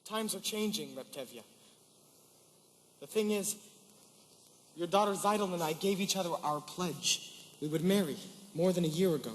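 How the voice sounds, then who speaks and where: Guy doing a nervous earnest young tailor on stage, high voice, polite, echoey hall. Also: moderate pace, long pauses, close to an everyday voice. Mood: nervous, insistent. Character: timid, earnest, stubborn young village tailor. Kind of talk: stage musical acting.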